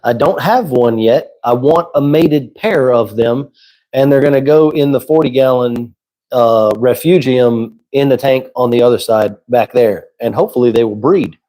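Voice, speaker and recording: A man's voice: mid-range voice, normal speed, rough recording. A man hosting a casual livestream who is losing his voice, chatting easily and answering viewers' questions. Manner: relaxed, conversational, answers chat questions. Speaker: livestream host.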